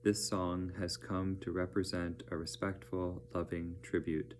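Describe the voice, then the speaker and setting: A man with a fairly low voice reads an educational text aloud at a very slow pace. His delivery is measured and plain, like a teacher reading from a book, with a soft-edged, mellow tone. A faint hum sits in the background. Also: flat delivery. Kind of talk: educational read-aloud narration.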